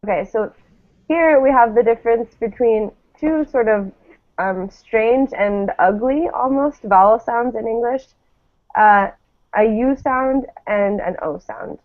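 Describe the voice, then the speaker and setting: A woman teacher with a slightly high voice leads a pronunciation drill. She speaks slowly and encouragingly, setting each model word apart. The sound is thin and narrow, like a phone line. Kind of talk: live online lesson, drilling word pairs. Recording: thin phone-like call audio.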